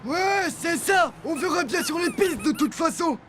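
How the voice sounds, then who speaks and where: A woman's voice: very high-pitched, animated, measured pace. A woman voicing a teasing, sceptical young schoolkid in a cartoon, full of taunts. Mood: mocking. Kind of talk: animated cartoon acting. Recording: loud music behind the voice.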